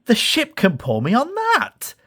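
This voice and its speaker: A man's voice: very high voice, wildly swooping pitch, a little slow, creaky, bright, forceful tone, slightly rough, pitched above the performer's own voice, full character voice. A man voicing an eager, brave young steam engine in a children's storybook, with an earnest, exclamatory manner. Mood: confident.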